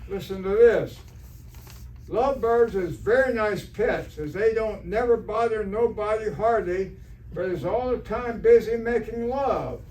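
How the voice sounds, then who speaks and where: A man's voice: sits high, a little slow, darkish tone. A man playing an earnest, naive, fussy biology teacher in an amateur stage re-creation of a radio comedy. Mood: fussy, disapproving. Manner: fussy, earnest, literal-minded. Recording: distant stage recording, faint noise.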